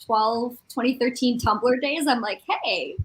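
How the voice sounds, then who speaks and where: A woman's voice: fairly high pitch, unhurried, low-quality recording. A young adult woman from a French-Canadian town chatting casually, with an informal, exclamatory, sweary way of talking. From small French-Canadian town. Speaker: participant in a live reading stream. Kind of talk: casual group chat.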